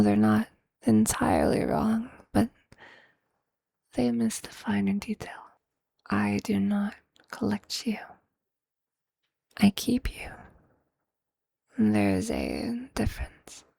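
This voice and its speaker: A man voicing an obsessive, tender androgynous vampire lover in an ASMR roleplay, speaking in a hushed, breathy, deliberate and often whispered voice with a seductive manner. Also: high voice, no room sound, exaggerated voice. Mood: possessive, intense. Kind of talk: ASMR romantic roleplay, intimate scripted monologue.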